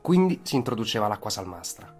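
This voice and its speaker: Male storyteller, low voice, scripted dramatic historical narration, soft music in background. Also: big pitch swings, fast talker, breathy edge.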